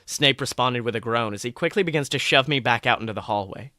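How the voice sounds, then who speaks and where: A man narrating a first-person story in a dramatic, expressive way. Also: mid-range voice, fast talker, dry recording, clean studio sound. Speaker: podcast host reading fan fiction.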